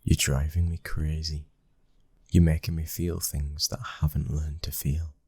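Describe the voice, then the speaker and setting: A man with a British accent acting as a brooding, protective young mafia boss's son in an intimate ASMR boyfriend roleplay, speaking in a husky, hushed, intense way that often nears a whisper. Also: rumbling low, little pitch movement, measured pace, dry recording, clean studio sound, heavily acted. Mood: tender, vulnerable.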